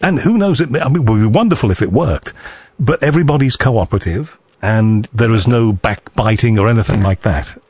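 A man's voice: medium pitch, animated, a little fast, darkish tone, breathy edge, no echo. A man hosting a radio talk show, chatting casually and rambling with frequent restarts and self-deprecating asides. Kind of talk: casual on-air chat. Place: radio studio.

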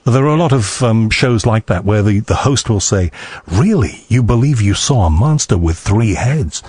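A man's voice: lowish voice, expressive, normal speed, darkish tone, husky, no echo. A man hosting a radio talk show, chatting casually and rambling with frequent restarts and self-deprecating asides. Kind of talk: casual on-air chat. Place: radio studio.

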